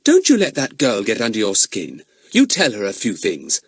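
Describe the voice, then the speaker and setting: A man voicing a sensible, bossy young girl who speaks in a brisk, no-nonsense way for a storybook reading. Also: lowish voice, wildly swooping pitch, gravelly, breathy edge, no echo, studio quality, exaggerated voice.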